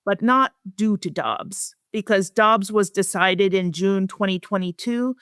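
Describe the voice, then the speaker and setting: A woman's voice: medium pitch, a little slow, fairly bright tone, clean tone, dry recording, studio quality. A woman speaking as an expert guest, explaining things in articulate, plain-spoken interview answers. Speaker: expert guest, professor and researcher.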